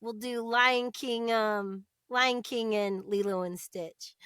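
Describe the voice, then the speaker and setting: American lady doing casual interview chat, high bright voice, chatty, really dry sound. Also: slow pace, clear, resonant tone, dry recording. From United States. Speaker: interview guest, voice actress.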